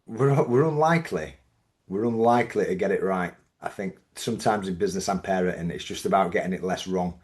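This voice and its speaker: Yorkshire man, middle-aged, deep voice, measured reflective guest. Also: a little mellow. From Yorkshire, England. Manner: thoughtful, measured, with frequent restarts. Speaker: business owner guest. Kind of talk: reflective podcast interview.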